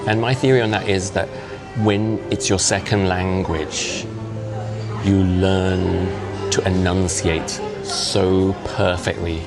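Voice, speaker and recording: A man's voice: sits low, slow pace, over music. A man in his fifties whose first language is Cantonese, chatting casually to camera and often acting out other people's voices. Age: 50s. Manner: chatty, animated, often acts out quoted voices. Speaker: vlogger.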